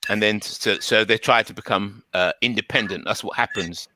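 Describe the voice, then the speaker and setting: A man in a casual conversation explaining his views at length, haltingly and often repeating words. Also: fairly low pitch, sharp tone, a little hiss or hum, rough recording.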